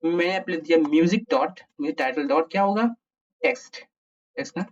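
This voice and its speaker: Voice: medium pitch, fast talker. An Indian presenter giving an informal coding tutorial, thinking aloud and answering their own questions. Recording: muffled, distant microphone.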